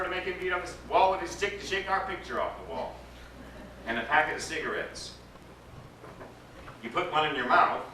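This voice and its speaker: Guy doing a theatrical story reading, kinda high voice, super roomy sound with faint noise. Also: sharp tone. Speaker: actor reading a story aloud. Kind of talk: expressive dramatic literary reading. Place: indoor live reading to a gathering. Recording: echoey room, distant mic, background noise.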